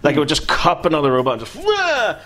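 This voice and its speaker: Animated Northern Irish man, casual chat. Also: on the high side, fast. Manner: animated, swearing, gestures a lot while talking. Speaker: co-host. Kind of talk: casual chat reading viewer comments. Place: small indoor room.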